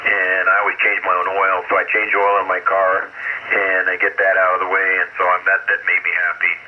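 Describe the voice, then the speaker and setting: Deep-voiced man on muffled ham radio. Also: completely flat delivery, fairly quick, noisy background. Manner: rambling, hesitant, conversational. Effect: heard over shortwave radio. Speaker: amateur radio operator. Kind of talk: casual ham radio conversation.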